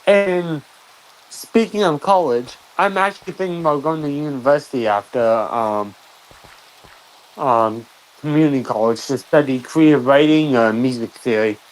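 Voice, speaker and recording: A young man chatting casually with a friend on a livestream, talking in a halting, hesitant way with many ums. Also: mid-range voice, poor audio. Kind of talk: casual chat while gaming.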